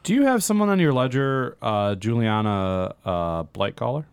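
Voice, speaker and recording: A man's voice: mid-range voice, animated, normal speed, clean tone. A man playing a tabletop game, chatty and hesitant, often laughing. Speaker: player. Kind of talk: casual in-character play.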